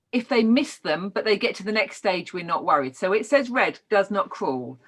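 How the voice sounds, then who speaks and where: British female trainer, mid-pitched, informal and explanatory, addressing learners directly. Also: a bit bright. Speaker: trainer teaching child development. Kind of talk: informal online lesson, explaining.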